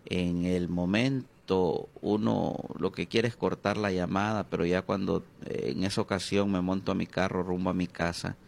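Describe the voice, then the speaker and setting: A middle-aged man with a Honduran accent, a pastor speaking as an interview guest, hesitant and reflective with many drawn-out fillers. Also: low voice, flat delivery, vocal fry, darkish tone.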